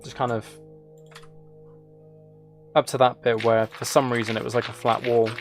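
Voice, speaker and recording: A man's voice: mid-range voice, level tone, normal speed, music in the background. A man livestreaming a game, casually rambling and thinking aloud as he replies to viewers. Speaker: livestreamer, gaming and ASMR channel creator. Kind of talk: casual live stream chat while gaming.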